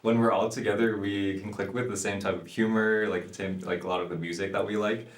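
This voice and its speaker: A young man who sings in a pop group, answering interview questions casually, with a lot of halting "um"s and "like"s. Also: lowish voice, flat delivery, echoey room. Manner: halting, many fillers and restarts.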